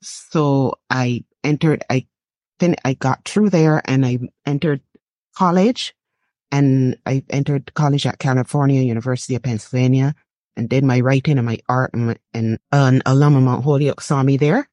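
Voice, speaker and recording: A middle-aged woman from Barbados with a Barbadian accent, reflecting on personal experience as an interview guest, often halting and repeating phrases. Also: extremely low pitch, fairly even intonation, dark tone, dry recording. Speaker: interview guest, artist and writer.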